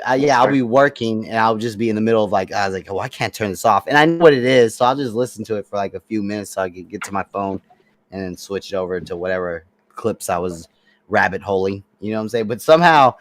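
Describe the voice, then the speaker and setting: A man's voice: lowish voice, fast talker, no room sound. Rambling young guy, dry close mic. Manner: laid-back, rambling, laughs often. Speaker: streamer. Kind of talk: casual group chat.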